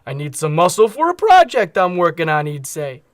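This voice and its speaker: A man's voice: on the high side, huge pitch swings, moderate pace, dry recording, clean studio sound. A man playing an easygoing, streetwise veteran henchman, casual and chummy, in a comedic radio play. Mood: confiding, matter-of-fact. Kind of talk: comedic radio theatre acting.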